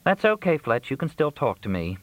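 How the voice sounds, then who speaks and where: A man's voice: medium pitch, very animated, brisk, dry recording, phone-quality sound, voice pushed up, natural voice. A man voicing a plaintive, needy, hungry woman in audiobook dialogue.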